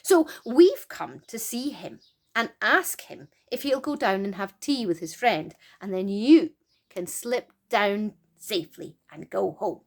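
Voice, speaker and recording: A woman voicing an eager, excited young boy on an adventure in a children's storybook. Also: mid-range voice, measured pace. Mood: eager, explaining. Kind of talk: storybook character voice.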